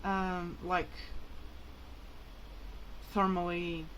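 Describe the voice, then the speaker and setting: A woman's voice: on the low side, flat delivery, drawn-out words, a bit bright, slight background noise, low-quality recording. A woman whose mother tongue is Portuguese, talking casually and hesitantly to viewers in a rambling, informal vlog. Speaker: vlogger.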